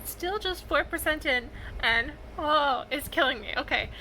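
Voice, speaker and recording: A woman talking casually in a reaction vlog, with a halting, expressive fangirl delivery and dramatic pauses. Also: high voice, slight background noise, poor audio. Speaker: vlogger sharing reactions to fan fiction.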